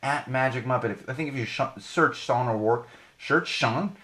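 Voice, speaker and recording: Hoarse, chatty male podcast host. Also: medium pitch, moderate pace, fairly bright tone. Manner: chatty, rambling, self-correcting. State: slightly hoarse, losing his voice. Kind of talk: live podcast monologue with plugs.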